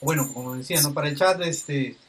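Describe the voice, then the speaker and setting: A male podcast host talks in a relaxed, conversational way, often tagging his sentences with a questioning "¿no?". He pads his speech with fillers like "este". The sound comes from a video call. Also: on the high side, fairly quick. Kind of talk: casual podcast interview.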